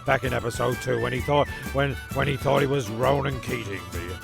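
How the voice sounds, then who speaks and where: A man's voice: medium pitch, fairly quick, vocal fry, music in the background. A man playing a grumpy, rambling, foul-mouthed narrator of a comic audio serial, stumbling over his words. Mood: sardonic recap. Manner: stumbling, cynical, sweary.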